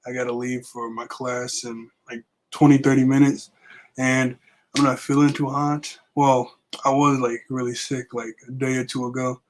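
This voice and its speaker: A young man with a raspy voice left over from being sick, casually recapping a manga chapter with lots of fillers. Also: mid-range voice, little pitch movement, normal speed, slight background noise. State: raspy voice recovering from illness. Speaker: manga review vlogger. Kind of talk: casual chat.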